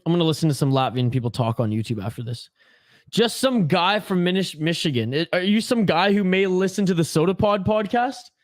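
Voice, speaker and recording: A young Canadian man with a fairly high voice hosts a hockey watch-along stream. He chats loosely, swears, and answers viewer comments aloud. His voice is close and dry, with no room sound. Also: fairly quick, no echo. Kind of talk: casual live-stream chat.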